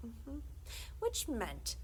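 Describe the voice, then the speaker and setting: A woman reading a children's book aloud as a librarian, conversational and expressive, often dropping into hushed, confiding tones. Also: on the high side, drawn-out words, slight background noise. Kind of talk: animated read-aloud of a children's book with brief asides.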